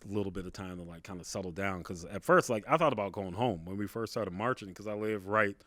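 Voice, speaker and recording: Low-voiced man telling a story casually. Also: fast, a bit bright, dry recording. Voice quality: big, carrying voice. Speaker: podcast guest, stand-up comedian.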